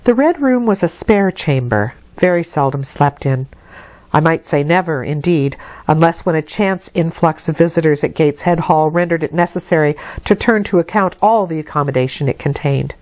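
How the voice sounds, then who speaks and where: A woman storyteller reading a classic novel aloud in an expressive literary narration. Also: low voice, moderate pace, no echo.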